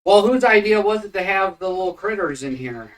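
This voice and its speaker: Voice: mid-range voice, animated, brisk, distant mic, poor audio. A co-host of a casual home faith podcast, rambling and teasing, tired and yawning. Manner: rambling, teasing, easily sidetracked. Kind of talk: casual religious chat podcast. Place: home room with pets.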